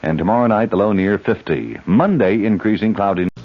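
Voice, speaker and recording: Male newsreader: lower register, scripted announcing, muffled old radio sound. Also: a little fast. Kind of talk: scripted news and ad reading. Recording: dull old radio broadcast.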